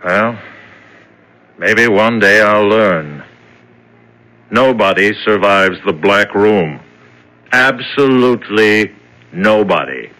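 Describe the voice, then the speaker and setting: An actor playing a cold, condescending prison chief in a 1970s radio drama. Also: male voice, fairly low pitch, takes it slowly, long pauses, sharp tone, rough recording. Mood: brooding. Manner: condescending, clipped. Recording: old radio drama recording.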